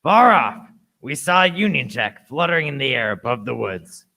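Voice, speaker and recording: A man's voice: medium pitch, very animated, moderate pace, gravelly, sharp tone, heavily acted. A man playing an adventurer who narrates his perilous tale in a scripted radio play. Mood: suspenseful.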